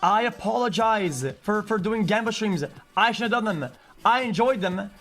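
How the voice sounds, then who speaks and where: A man's voice: high voice, normal speed, fairly bright tone. A man livestreaming an unscripted, agitated rant to his chat, swearing often and stumbling over repeated words.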